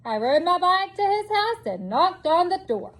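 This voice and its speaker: A woman reading a children's picture book aloud in an expressive storytelling way, drawing out words for emphasis. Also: extremely high pitch, normal speed. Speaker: storybook reader.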